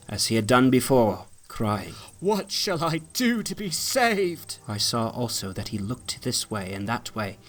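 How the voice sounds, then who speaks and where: A man giving a solemn, deliberate dramatic reading of old literary prose as narrator. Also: lowish voice, fairly bright tone.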